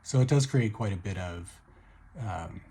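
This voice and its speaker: A middle-aged man with a hoarse voice talks casually at home in a video diary. His voice sits very low and sounds husky and rough. He is relaxed and upbeat, with many fillers and restarts. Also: a little slow. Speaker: vlogger recovering from surgery.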